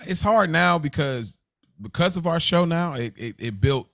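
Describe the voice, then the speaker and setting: A man's voice: on the high side, animated, moderate pace, a bit bright, no echo, studio quality. A man co-hosting a podcast in casual conversation, explaining his thoughts at length in a reflective way. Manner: reflective, explains at length with "like" and "you know what I mean". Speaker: podcast co-host.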